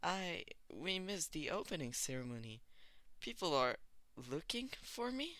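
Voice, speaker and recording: A man playing an anxious, soft-spoken teenage boy who talks hesitantly in a story reading. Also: a little high, sing-song, unhurried, no echo, voice pushed up. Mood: surprised, hesitant. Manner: hesitant, quiet, uncomfortable.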